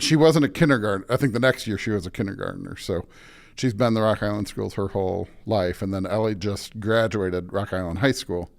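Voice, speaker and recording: Chatty middle-aged Midwestern American man. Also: lowish voice. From suburban Illinois, United States. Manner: chatty, anecdotal, frequently says "like". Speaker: pastor, interview guest. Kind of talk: casual conversational interview.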